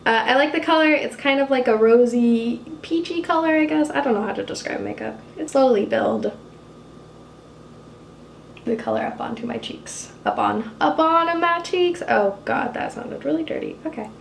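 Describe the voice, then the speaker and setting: A young woman with a low, husky voice chatting casually while doing her makeup, self-mocking and swearing offhandedly. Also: very animated, normal speed, reverberant, slight background noise. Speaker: YouTuber doing a makeup tutorial. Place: apartment room.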